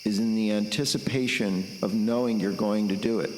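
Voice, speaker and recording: A man delivering an intense, blunt, reflective personal monologue with deliberate pauses. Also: fairly low pitch, steady tone, darkish tone, slight background noise. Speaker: podcast host and musician. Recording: roomy echo, long reverb, music underneath.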